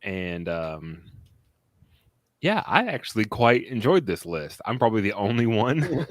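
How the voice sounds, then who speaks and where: Slow-talking laid-back male podcast host. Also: fairly low pitch, no echo, clean studio sound. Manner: relaxed, rambling, laughs often. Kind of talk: casual film podcast chat.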